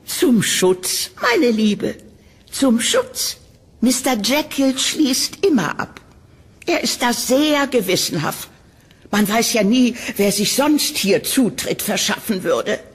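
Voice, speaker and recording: Woman playing a slow, husky, sinister landlady. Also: a little high, big pitch swings, full character voice. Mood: falsely sweet. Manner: drawn-out, patronizing endearments. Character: older landlady, falsely sweet, condescending and sinister. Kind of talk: German horror audio drama acting.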